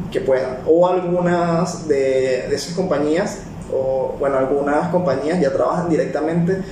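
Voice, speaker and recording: A man's voice: on the high side, heavy reverb, recorded from a distance, noisy background. A Venezuelan man working as a barista abroad, talking casually and rambling with many fillers and restarts. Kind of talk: casual personal talk.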